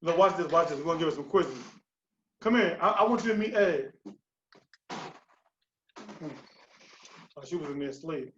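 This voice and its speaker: A chatty man with a somewhat high voice speaks as a guest on a video call. He fills his talk with "you know" and "bro". The audio is dull and lo-fi, with faint noise underneath. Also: normal speed, pauses often. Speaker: interview guest. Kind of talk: casual storytelling chat.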